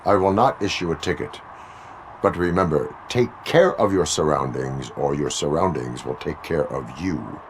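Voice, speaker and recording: An actor playing a stoic cyborg police officer in a video game, formal and deadpan, speaking in stiff moral maxims. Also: male voice, rumbling low, moderate pace, slight background noise, low-quality recording. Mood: stern, even. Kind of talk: video game cutscene acting.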